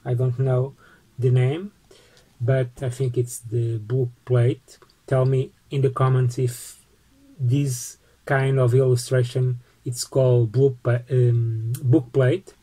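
Slow, mellow man with a Portuguese accent. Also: mid-range voice, flat delivery, slightly rough, poor audio. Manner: slow, halting, with frequent restarts. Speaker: postcard collecting vlogger. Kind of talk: casual show-and-tell vlog. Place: home study lined with bookshelves.

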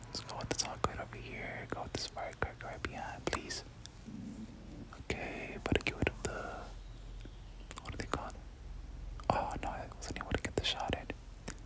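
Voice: normal speed, long pauses. A person whispering casual, slangy ASMR gameplay commentary, muttering reactions and repeating short phrases. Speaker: gaming video creator.

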